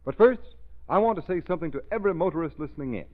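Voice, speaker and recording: A man's voice: a little high, expressive, racing. An American man working as a 1930s radio announcer, reading an advertisement with an emphatic salesman's delivery. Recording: muffled 1930s radio recording.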